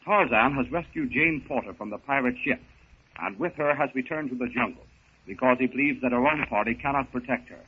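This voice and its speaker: A man's voice: mid-range voice, fairly quick, slightly rough. A man announcing a 1930s radio serial with a scripted, dramatic recap. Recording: tinny narrow 1930s radio recording.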